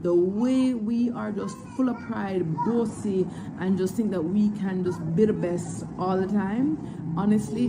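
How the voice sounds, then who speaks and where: A woman's voice: fairly low pitch, heavy background noise. A woman in her thirties speaking as a mother, confident and direct, seated outdoors by a pool. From Jamaica. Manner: confident, direct, says "babes". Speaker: mother and vlogger. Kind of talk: casual vlog monologue.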